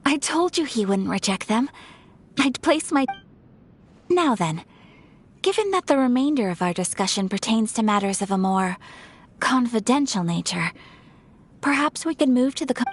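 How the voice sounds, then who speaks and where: A woman playing a composed young noblewoman in a game, speaking in formal, measured, courtly phrases over faint game music. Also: a little high, sing-song, husky, full character voice. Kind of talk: game cutscene voice acting.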